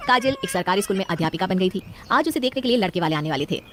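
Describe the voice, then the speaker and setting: A woman's voice: medium pitch, lively intonation, very fast, slight background noise. A woman narrating a scripted cartoon family story as its storyteller.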